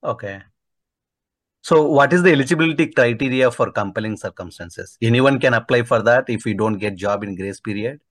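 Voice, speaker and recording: A man calling in for advice, asking spontaneous questions in a tentative, halting way. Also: medium pitch, no echo, clean studio sound. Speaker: caller seeking immigration advice.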